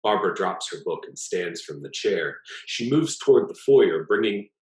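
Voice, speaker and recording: A man reading his own short story aloud as an author, in a measured, expressive narrating manner. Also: low voice, roomy sound, rough recording.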